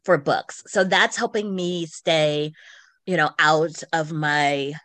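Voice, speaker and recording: Female podcast co-host, lower-leaning voice, unhurried delivery, informal and giggly. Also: fairly even intonation, a bit bright, no room sound. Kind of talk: casual chat about books and shows.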